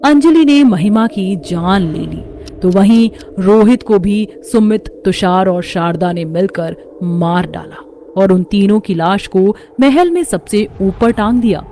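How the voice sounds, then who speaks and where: A woman's voice: mid-range voice, expressive, measured pace, warm tone, slight background noise. A woman reading a scripted horror story as its narrator.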